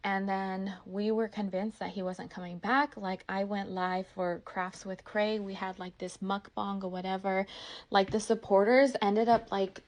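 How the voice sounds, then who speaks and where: Woman, mid-pitched voice, candid personal vlogger venting with passion and emphasis, very conversational, heavy on "like". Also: flat delivery, unhurried. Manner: emotional, venting, says "like" constantly. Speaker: online content creator.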